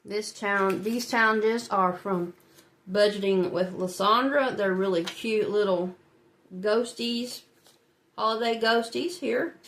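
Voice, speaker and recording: A woman's voice: medium pitch, takes it slowly, a bit bright, slight background noise. A woman with a stuffy, head-cold nose, chatting to viewers as she works through her savings challenges. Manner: chatty, talks to viewers while working, small laughs. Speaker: budgeting channel host. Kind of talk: casual talk while filling savings challenges.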